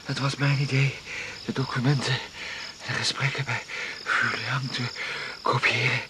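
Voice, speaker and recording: An actor playing a wounded, despairing man in a radio drama, speaking weakly in halting, broken phrases. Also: mid-range voice, level tone, close to an everyday voice. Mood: dying confession. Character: wounded, despairing man confessing, weak and faltering. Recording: noisy radio play recording. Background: crickets and insects.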